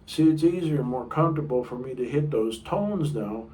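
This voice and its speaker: A middle-aged man with a low baritone voice teaching a casual guitar lesson, chatty and rambling, sometimes singing short notes. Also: lively intonation, moderate pace. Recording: room mic a bit far off, guitar playing.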